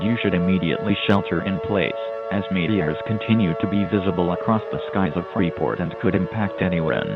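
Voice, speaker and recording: Muffled, very deep man acting as emergency announcer. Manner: formal, impersonal. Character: grave, official male emergency alert announcer. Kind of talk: formal emergency broadcast announcement.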